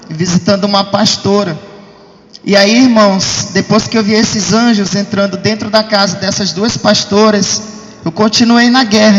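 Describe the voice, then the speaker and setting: A Brazilian man with a high voice gives an emphatic testimony to his congregation. He keeps addressing them directly as brothers. The sound is dull and rings through a long hall reverb. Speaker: church member giving testimony.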